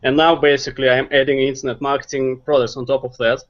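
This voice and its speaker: A man's voice: mid-range voice, flat delivery, fast. Russian-accented man on a muffled call. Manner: earnest, advice-giving, some grammar slips. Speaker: guest, SEO marketer. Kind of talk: online interview.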